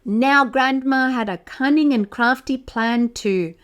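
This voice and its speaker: A woman reading a picture book aloud to toddlers as a storyteller, expressive and speaking directly to young listeners. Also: high-pitched, takes it slowly. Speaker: children's storyteller.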